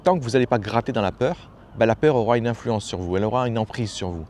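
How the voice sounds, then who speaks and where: A man's voice: medium pitch, fast, darkish tone, a little hiss or hum. A man giving a casual motivational talk as a personal development coach, familiar and slangy, hammering points home by repeating phrases.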